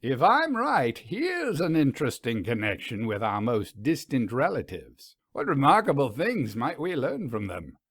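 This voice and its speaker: A man's voice: medium pitch, sing-song, a little slow, few pauses, a bit bright, breathy edge, no room sound, heavily acted. A man voicing a frank, eager English professor and creature hunter in a storybook, with a scholarly, persuasive manner.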